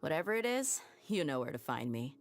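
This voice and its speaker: A woman's voice: sits low, big pitch swings, a little fast, faint music behind. An actress playing a confident adult female mercenary in a video game, offering her services with easy self-assurance. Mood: cool, confident. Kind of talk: game character voice acting.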